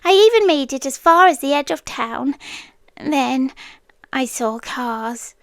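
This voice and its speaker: A wistful forest being in the shape of a devoted girlfriend , acted by a woman, with a high British voice. She talks extremely slowly and intimately, often dropping into whispers. It is an ASMR roleplay, close and dry on the microphone. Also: animated, a bit bright, dry recording, full character voice. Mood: wistful, uneasy. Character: wistful, devoted inhuman childhood friend from the forest, yandere girlfriend. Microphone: close, dry studio-style microphone.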